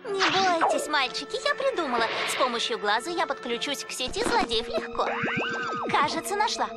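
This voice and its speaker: A woman's voice: high voice, sing-song, normal speed. An actress playing a brainy, perky young bandicoot inventor in a cartoon game, breezy, girlish and confident. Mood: cheerful, confident. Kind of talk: cartoon video game voice acting. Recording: loud music behind the voice.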